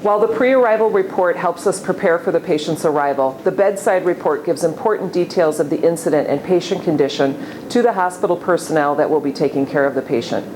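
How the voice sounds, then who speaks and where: A woman with a very deep voice for her sex gives a scripted explanation as hospital staff. Noticeable ambient noise sits behind her. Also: fairly quick, hardly any pauses, a little mellow. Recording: clearly noisy, ambient background sound.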